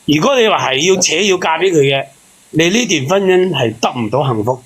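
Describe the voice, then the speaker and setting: A man speaks Hong Kong Cantonese in a fairly high register. He explains his view at length in an earnest, lecturing way, as a panel member advising a caller. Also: lively intonation, a little fast, slightly rough, low-quality recording. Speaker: panel member giving relationship advice to a caller. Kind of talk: casual advice talk on a call-in show.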